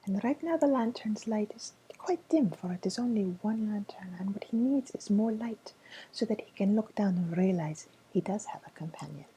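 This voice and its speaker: A woman playing a soft-spoken fortune teller in an ASMR role-play, with a made-up foreign accent and a gentle, hesitant, whispery delivery. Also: mid-range voice, animated, a little hiss or hum, close to an everyday voice, rough recording. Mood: gentle, reassuring. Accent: made-up, indeterminate foreign. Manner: slow, hesitant, gently reassuring, with pauses. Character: softly spoken mystical fortune teller woman reading tarot cards.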